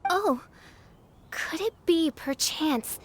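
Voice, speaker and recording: A woman's voice: extremely high pitch, expressive, extremely slow, dry recording, exaggerated voice. A woman voicing a theatrical young self-styled princess in a video game, speaking in pompous, archaic, flowery phrases. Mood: haughty, mysterious.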